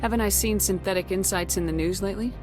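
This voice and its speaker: A woman's voice: mid-range voice, quick pace, music in the background, close to an everyday voice. A woman playing a confident military space commander in a video game, direct and no-nonsense in manner. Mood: firm, businesslike.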